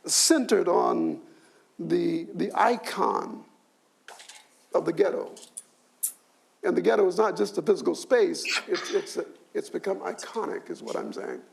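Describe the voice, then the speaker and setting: An older man on a discussion panel, explaining slowly as a professor, often restarting words and adding "you see.". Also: high-pitched, darkish tone. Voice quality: gravelly.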